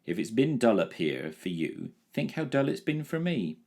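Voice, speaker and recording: A man voicing an excitable young boy in a storybook reading, earnest and pleading. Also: medium pitch, moderate pace, voice pushed up.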